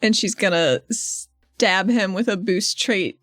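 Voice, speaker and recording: A woman's voice: mid-range voice, slow, fairly bright tone, no echo, clean studio sound, faint music behind. A woman playing a tabletop role-playing game, chatting informally and playfully as she describes what her character does. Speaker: player in a tabletop RPG podcast. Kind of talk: casual game table chat and narration.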